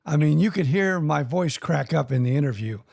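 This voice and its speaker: Male podcast host, high voice with a gravelly edge, chatty and sympathetic. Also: expressive, brisk, a little mellow. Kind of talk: podcast interview.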